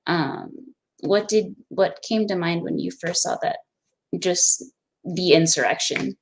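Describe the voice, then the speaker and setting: A young woman moderates a discussion in an earnest, conversational way. Her voice is fairly low and gravelly with vocal fry. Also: slow, slight background noise. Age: young adult. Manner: earnest, agreeing with short backchannels. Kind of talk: casual discussion.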